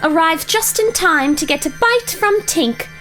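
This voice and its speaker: A woman's voice: very high voice, lively intonation, a little slow, fairly bright tone, soft background music, exaggerated voice. A woman narrating an audio drama from a script.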